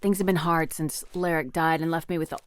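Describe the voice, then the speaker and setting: A woman's voice: low-pitched, fairly even intonation, fairly quick, lightly acted. A woman playing a blunt, plain-spoken peasant fisherwoman grieving her brother in a fantasy audio drama. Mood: apologetic.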